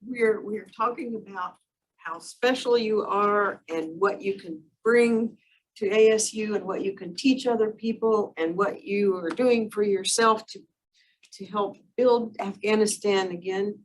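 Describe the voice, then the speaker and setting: A woman's voice: medium pitch, measured pace. A woman, an instructor on a panel, posing a long, earnest question. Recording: distant mic with faint room noise.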